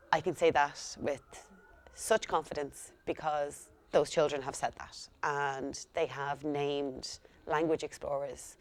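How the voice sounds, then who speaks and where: Woman, low voice, school liaison talking to camera, calm and earnest. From Ireland. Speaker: school home-school liaison coordinator. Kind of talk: speaking to camera about her work.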